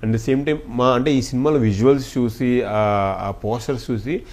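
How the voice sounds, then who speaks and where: A man's voice: medium pitch, moderate pace, a little mellow. A man with a Telugu accent, an actor giving an earnest, grateful interview and slipping between languages. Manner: earnest, effusively thankful. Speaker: film actor being interviewed. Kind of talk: interview chat mixing Telugu and English.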